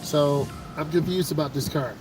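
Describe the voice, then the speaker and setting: A man with a stuffed-up cold calmly explains his driving to viewers while he drives a car in slow traffic. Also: on the high side, distant mic, poor audio. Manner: calm, unhurried, explanatory. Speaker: driving instructor. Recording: music loud behind the voice.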